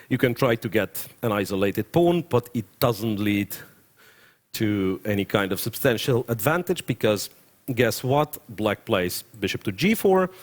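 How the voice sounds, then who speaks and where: A young adult man with a Ukrainian accent, giving live commentary on a chess game, thinking aloud and often stopping to correct himself. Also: lowish voice. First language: Russian.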